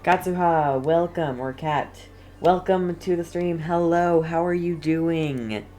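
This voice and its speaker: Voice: mid-range voice, takes it slowly, mellow. A game streamer giving casual, chatty livestream commentary with light teasing.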